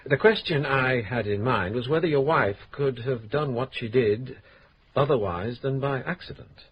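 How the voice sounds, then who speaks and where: An actor playing a polite, probing police inspector in a radio drama, courteous and measured. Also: male voice, medium pitch, muffled recording, slight background noise, close to an everyday voice. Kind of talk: 1970s-80s radio drama acting.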